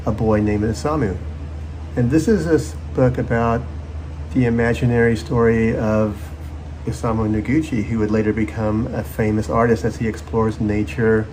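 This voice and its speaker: A man's voice: on the low side, a little mellow, slight background noise, low-quality recording. A man in his fifties, a children's author, presenting his book from a home office.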